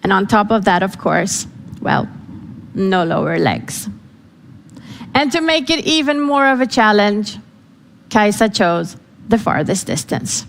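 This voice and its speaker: A woman's voice: medium pitch, sing-song, measured pace, creaky, pauses often, big-room sound, a little hiss or hum. A woman whose first language is Farsi gives a dramatic storytelling speech, acting out the voices she quotes. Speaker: speaker. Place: event hall.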